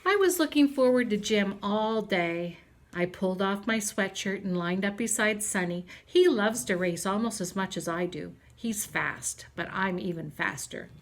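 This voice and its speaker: A woman reads a children's story aloud with the deliberate, expressive delivery of a teacher. Her pitch sits in an ordinary middle range for a woman. There is faint background noise in the recording.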